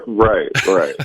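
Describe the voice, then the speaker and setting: A man's voice: mid-range voice, bright, forceful tone, breathy edge. A man, a comedian who has just woken up, calling in to a radio show and joking about himself in a laid-back way. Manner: laid-back, self-mocking humor. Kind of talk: casual phone chat.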